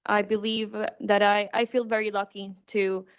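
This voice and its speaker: Clear-voiced woman. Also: mid-range voice, level tone. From Guayaquil, Ecuador. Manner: hesitant, pausing mid-sentence. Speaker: panel speaker. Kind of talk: personal unscripted panel remarks.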